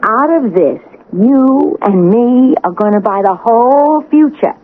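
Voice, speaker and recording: A woman's voice: a little high, lively intonation, measured pace, phone-quality sound. Scheming woman crook, thin broadcast. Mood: scheming, coaxing. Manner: controlling, conspiratorial. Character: scheming, cool-headed woman crook running the plan. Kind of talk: 1980 radio drama acting. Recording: band-limited old radio broadcast, some noise.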